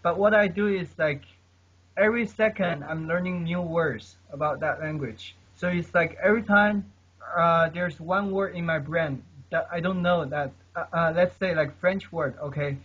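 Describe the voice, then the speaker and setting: A man's voice: on the high side, normal speed, fairly bright tone, husky. A man, a polyglot, giving earnest advice on language learning in casual conversation, often tagging his sentences with "okay?" and many fillers. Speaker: guest polyglot. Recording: dull lo-fi recording.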